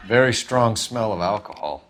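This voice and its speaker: A man's voice: lowish voice, expressive, moderate pace, fairly bright tone, faint music behind. An American man playing an earnest, methodical police detective in a video game, speaking formally and inquisitively.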